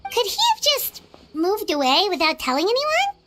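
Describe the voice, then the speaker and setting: A woman's voice: extremely high pitch, wildly swooping pitch, normal speed, heavy background noise, full character voice. A woman voicing a small, chirpy female fairy companion in a video game, who calls herself by her own name.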